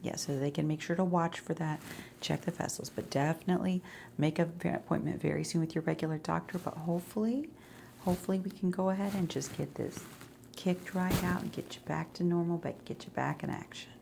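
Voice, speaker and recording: A woman speaking softly in an ASMR medical roleplay, explaining things in a reassuring, conversational way as an eye doctor. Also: fairly low pitch, brisk, creaky, a little hiss or hum. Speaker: ASMR creator role-playing an eye doctor.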